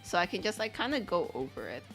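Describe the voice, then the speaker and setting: A woman's voice: fairly low pitch, very animated, fast, fairly bright tone, faint music behind. A woman livestreaming as she draws, chatting casually in a rambling way and reading out questions from viewers. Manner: rambling, chatty, reads chat questions aloud. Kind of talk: casual livestream chat while drawing.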